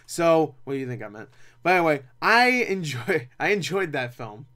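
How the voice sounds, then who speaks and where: A young man talking casually as a film reviewer, rambling and animated, with opinionated asides. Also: on the high side, moderate pace. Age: young adult. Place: small cluttered room at home.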